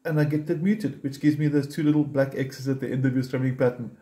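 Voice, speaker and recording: A man's voice: mid-range voice, steady tone, quick pace. A man teaching ukulele chords step by step in a patient, methodical way, recorded in a home music room with the camera close on his instrument. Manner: patient, methodical, explains while playing.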